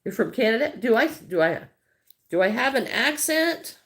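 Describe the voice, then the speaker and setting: A woman's voice: on the high side, sing-song, normal speed. A middle-aged Midwestern American woman chatting casually on a crochet livestream, greeting viewers by name and thinking aloud as she works.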